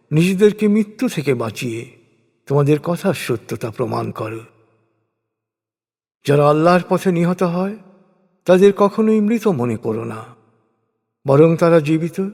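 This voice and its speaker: A man with a slightly high-pitched voice delivers a solemn reading of translated scripture. He goes very slowly, setting down each phrase deliberately and pausing after it. The voice rings with a long, hall-like reverb. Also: darkish tone. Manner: measured, deliberate phrasing with pauses.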